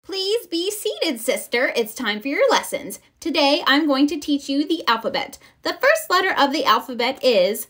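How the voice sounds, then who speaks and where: Woman acting a little girl bear cub, storybook character, bossy, braggy, excitable, high and bright, swooping pitch. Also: normal speed, hardly any pauses. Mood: stern, commanding. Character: bossy, boastful six-year-old girl bear cub. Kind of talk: children's storybook read-aloud.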